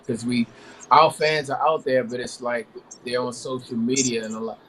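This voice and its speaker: A man's voice: medium pitch, moderate pace, slight background noise, low-quality recording. Laid-back man singer, rambling talk. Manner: relaxed, rambling with many fillers. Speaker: R&B singer, guest. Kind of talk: casual interview talk.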